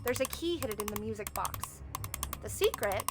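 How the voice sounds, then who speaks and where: A woman's voice: medium pitch, lively intonation, normal speed, close to an everyday voice. A woman playing a teenage former child star in a horror-comic video game, with a melodramatic manner. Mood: tense, urgent. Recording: loud dramatic music behind.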